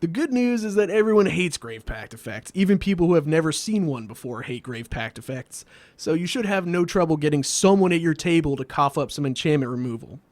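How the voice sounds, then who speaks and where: A man with a somewhat high voice narrates a scripted comedic explainer as its host. His delivery is wry and sardonic, and the sound is close and dry, with no room on it. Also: animated, brisk, a little mellow, no echo. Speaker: host of a card game video series.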